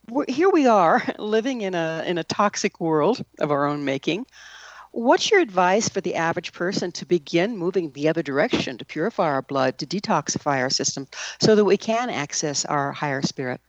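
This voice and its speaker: A woman's voice: fairly low pitch, expressive, moderate pace, dry recording, highs cut off. A woman hosting a radio interview show, putting questions to a guest and reading announcements. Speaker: show host.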